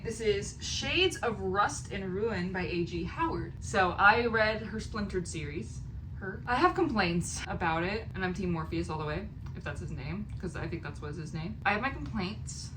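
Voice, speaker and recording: A young American woman chatting casually to camera as a book vlogger, in an expressive, rambling way, often interrupting herself. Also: medium pitch. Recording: clear background room noise.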